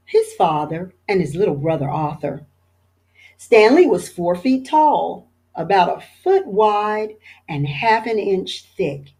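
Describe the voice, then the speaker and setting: Expressive woman reading a picture book aloud. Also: medium pitch. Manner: expressive, storytelling, pauses for pictures. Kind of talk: children's read-aloud.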